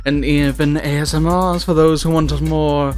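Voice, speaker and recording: A man's voice: a little high, level tone, moderate pace, faint music behind, natural voice. A man playing a playful, mischievous mascot host, delivering a theatrical promo announcement. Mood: showy, mischievous. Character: playful, mischievous Mad Hatter-like channel mascot. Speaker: channel host.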